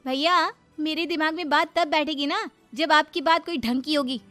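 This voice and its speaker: A woman voicing an outspoken, indignant young daughter-in-law from the city in an animated moral story. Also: high voice, moderate pace, soft background music, pitched above the performer's own voice. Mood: indignant, defiant. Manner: indignant, argumentative.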